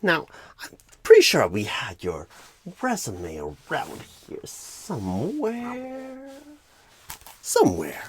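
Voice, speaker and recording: A man playing a clueless, bumbling job recruiter in a comedic ASMR role-play, rambling with odd pauses and repeated words, flustered but eager. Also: a little high, huge pitch swings, heavily acted. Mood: flustered, searching.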